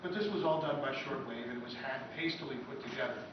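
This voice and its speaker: A man giving an informal history lecture in an off-the-cuff, halting way, with frequent asides. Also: mid-range voice. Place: echoey convention room, live talk. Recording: very echoey room, distant mic, dull, noisy.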